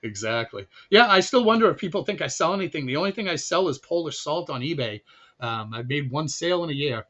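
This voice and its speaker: A man's voice: on the high side, lively intonation, brisk, a bit bright. A man from Chicago chatting as a podcast guest, talking freely with many restarts and laughs. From Chicago, USA. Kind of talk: casual conversation. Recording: dull lo-fi audio.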